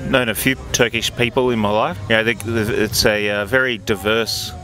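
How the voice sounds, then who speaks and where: A man with a fairly low voice answers a street interview, pausing often and searching for words. He is recorded outdoors, with music clearly playing behind him. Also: steady tone. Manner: thoughtful, hesitant, many fillers. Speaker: passer-by being interviewed.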